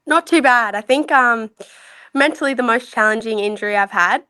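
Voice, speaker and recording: A woman's voice: on the high side, normal speed, pushed and bright. A young woman, a rugby league player talking openly and easily as a podcast guest. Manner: open, easygoing, candid. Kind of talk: casual interview chat.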